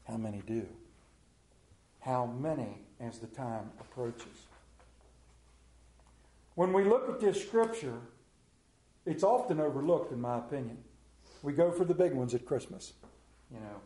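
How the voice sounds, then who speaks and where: A man's voice: mid-range voice, sing-song, measured pace, long pauses, dark tone. A middle-aged man with an Appalachian accent, a television pastor preaching a sermon in a folksy, storytelling way full of rhetorical questions. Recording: roomy echo.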